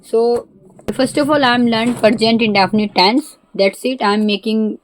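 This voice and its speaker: A woman with an Indian accent, a Hindi native speaker, talking casually in halting learner English mixed with Hindi. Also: on the high side, fairly even intonation, a little hiss or hum, low-quality recording. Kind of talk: casual vlog.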